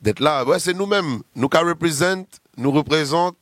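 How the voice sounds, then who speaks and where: A man's voice: on the high side, moderate pace, fairly bright tone, dry recording, studio quality. A man in his thirties from Réunion with a Réunionese French accent, chatting casually as a guest artist and rambling through long lists with many fillers. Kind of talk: casual interview chat.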